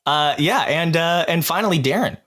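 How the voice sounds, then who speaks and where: A man's voice: a little high, moderate pace, a bit bright. A man hosting a podcast in a casual, conversational way with a group of castmates. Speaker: podcast host and game master.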